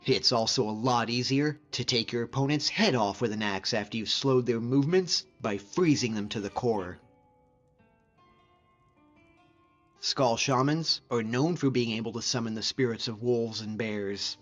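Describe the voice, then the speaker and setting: A man's voice: mid-range voice, fairly bright tone, highs cut off, natural voice. A man voicing a hardy, easygoing hunter and frost mage as a fantasy game companion, talking informally and sincerely in a reflective, plain-spoken way. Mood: confident, casual. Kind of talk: conversational scripted game character dialogue.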